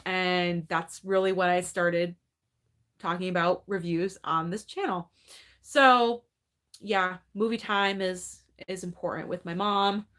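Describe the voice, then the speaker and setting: A woman's voice: on the low side, fairly even intonation, slow pace, fairly bright tone. A woman in her thirties hosting a casual live movie Q&A at home, chatty and upbeat, reading viewer comments and thinking aloud. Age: 30s. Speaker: movie channel host.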